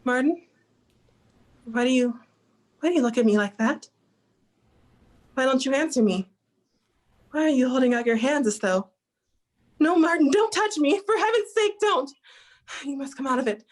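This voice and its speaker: High-pitched terrified woman, played by an actress. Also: lively intonation, normal speed, pauses often. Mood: alarmed. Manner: urgent, breathlessly dramatic pleading. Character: terrified, pleading woman in a radio horror play. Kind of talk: 1940s radio melodrama acting. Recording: loud music over the voice.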